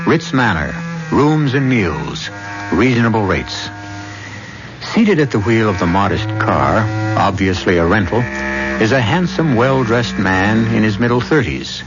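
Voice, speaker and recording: A man working as announcer and narrator of a radio mystery show, reading scripted dramatic narration in measured, deliberate phrases. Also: lowish voice. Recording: muffled old radio broadcast. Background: music under the narration.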